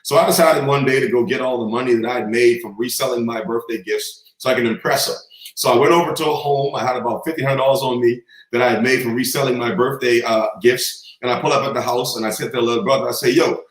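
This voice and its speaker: A man's voice: medium pitch, fairly even intonation, quick pace, reverberant, low-quality recording. A man from the Bahamas, a guest telling stories with confident, preacher-like cadence. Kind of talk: storytelling in a conversation.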